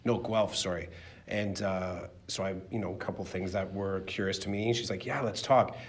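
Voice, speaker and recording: A male podcast host telling a story casually, animated, with many restarts. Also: low-pitched, moderate pace. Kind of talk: casual conversational podcast talk.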